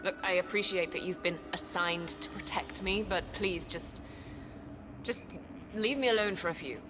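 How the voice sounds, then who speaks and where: A woman's voice: lowish voice, moderate pace, natural voice. A woman playing a weary, guarded operative in a video game, with a strained, hesitant, naturalistic delivery. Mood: stressed, pleading. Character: weary female operative under protection, guarded. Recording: music behind the voice.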